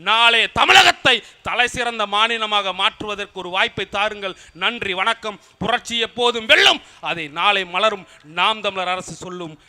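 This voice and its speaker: A man's voice: high-pitched, normal speed, sharp tone. A man giving a political rally speech. He uses rhythmic, repeated appeals to vote. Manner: rhythmic, repeating a campaign refrain. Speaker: party speaker at a public meeting.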